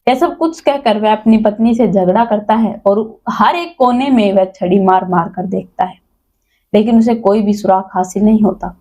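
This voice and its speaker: A woman's voice: mid-range voice, moderate pace, warm tone. A woman narrating a scripted dramatic story as a storyteller.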